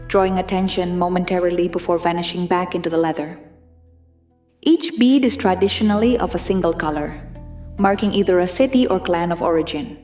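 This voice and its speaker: A woman narrates in a lower register, with a measured and formal delivery. It is a scripted, documentary-style reading of fantasy lore. The sound is roomy, with faint music underneath. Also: a little mellow.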